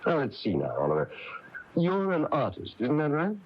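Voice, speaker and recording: An actor playing a kindly, chatty small-town minister in a radio drama, coaxing and avuncular, with hesitant phrasing. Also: male voice, medium pitch, wildly swooping pitch, moderate pace. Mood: friendly, coaxing. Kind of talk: 1980s radio drama acting. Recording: dull, noisy AM radio recording.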